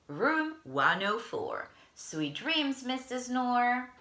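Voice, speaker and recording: A woman's voice: a little high, big pitch swings, very slow, pushed and bright, reverberant, pitched above the performer's own voice, full character voice. A woman voicing a polite, helpful hotel bellhop with a courteous, accommodating manner.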